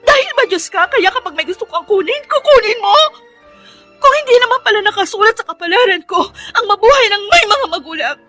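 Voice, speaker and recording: Radio drama orphan girl, young adult: very high, bitter, tearful outcry, loud score underneath. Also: lively intonation, a little fast, faint music behind, exaggerated voice. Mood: anguished rage. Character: anguished young orphaned woman raging bitterly at God. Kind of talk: Filipino radio drama acting.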